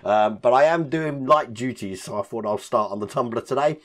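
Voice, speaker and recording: A man in his fifties with a hoarse, deep, rough voice, casually talking through a model build step by step as a channel host. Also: moderate pace. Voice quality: deep rough voice with occasional coughs. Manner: chatty, explains each step while handling parts. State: hoarse, croaky voice after illness. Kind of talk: casual hands-on build walkthrough. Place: home hobby room full of collectibles.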